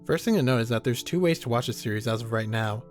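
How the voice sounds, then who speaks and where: Fast-talking sarcastic man over music. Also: medium pitch. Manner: sarcastic, joking, casually profane. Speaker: YouTube horror reviewer. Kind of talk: comedic video essay commentary. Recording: music behind the voice. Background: background music.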